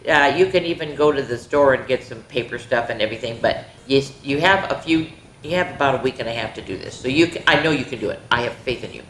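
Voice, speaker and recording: Low-voiced woman reading stories to children. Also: fairly bright tone. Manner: exclamatory, dramatic, addresses listening children directly. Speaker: children's story time host. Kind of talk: animated read-aloud storytelling with chatty asides to children. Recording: roomy echo, faint background noise.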